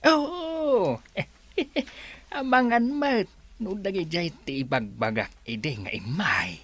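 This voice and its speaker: A woman's voice: on the low side, lively intonation, takes it slowly, husky, heavily acted. An actress playing a chatty, deferential female resort worker who gossips with her boss. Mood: gossipy.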